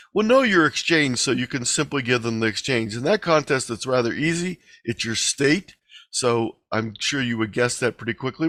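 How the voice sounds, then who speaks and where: A man presenting an instructional webinar lecture with slides, explaining in a measured, deliberate way over a video call microphone. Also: medium pitch, fairly bright tone, dry recording.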